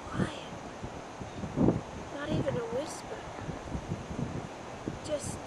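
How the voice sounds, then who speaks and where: A woman performing an audition monologue as a grieving mother, with halting, emotional, dramatic delivery. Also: high voice. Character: grieving Southern mother, bitter then raging over daughter's death. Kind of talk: amateur audition monologue, stage drama acting. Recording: noisy amateur recording, wind-like microphone noise.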